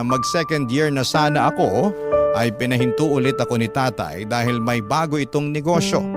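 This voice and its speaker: A man with a Filipino accent reading a listener's letter in an emotive, theatrical way as a radio drama host. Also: mid-range voice. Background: loud background music.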